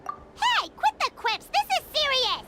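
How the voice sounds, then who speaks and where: An actress voicing a tiny, excitable, bossy childlike fairy companion in a video game, with a squeaky voice. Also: female voice, takes it slowly, slight background noise, full character voice. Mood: indignant. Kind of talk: scripted video game voice acting.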